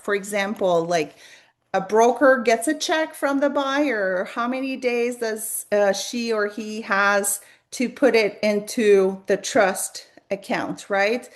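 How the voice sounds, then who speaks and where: A chatty real estate agent walks viewers through her study routine. Her voice sits at an ordinary middle pitch, and she talks in an encouraging, loose way, often restarting her sentences. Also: creaky. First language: French. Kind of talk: casual tutorial vlog.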